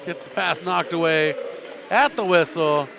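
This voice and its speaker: A man's slightly high voice, the easy patter of a broadcaster who jokes and restarts his sentences. He calls the final minutes and then chats with a coach, recorded in a school gymnasium. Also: measured pace, creaky, background noise. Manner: chatty, joking, frequent restarts. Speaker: play-by-play broadcaster. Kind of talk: sports commentary and postgame interview.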